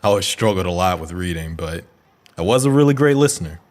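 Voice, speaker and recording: An actor playing an earnest community organizer in an audio drama, friendly and encouraging in a natural way. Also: male voice, low voice, lively intonation, fast, a little mellow.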